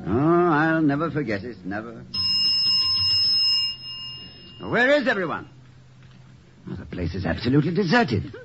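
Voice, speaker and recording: Casting: doting, dreamy college president, flustered and effusive, very animated delivery, vintage radio drama sound with music behind. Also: male voice, fairly high pitch, normal speed. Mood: wistful recollection. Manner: flustered stammering, effusive, genteel turns of phrase. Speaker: actor in radio comedy drama. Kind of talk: 1950s radio acting. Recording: muffled old radio broadcast with music.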